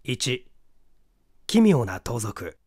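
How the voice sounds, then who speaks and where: Expressive man reading a mystery novel. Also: medium pitch, extremely slow, no room sound, studio quality. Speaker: audiobook reader, stage actor. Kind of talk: literary mystery novel reading, scripted narration.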